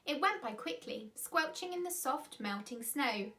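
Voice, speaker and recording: A woman's voice: sits high, animated, a little slow, pushed and bright. A woman reading a children's story aloud expressively, with dramatic emphasis. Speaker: storyteller, school reader.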